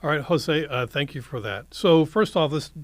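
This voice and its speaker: A man hosting a radio talk show, introducing a guest and taking calls briskly. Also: a little high, no echo. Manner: brisk, conversational. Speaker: host.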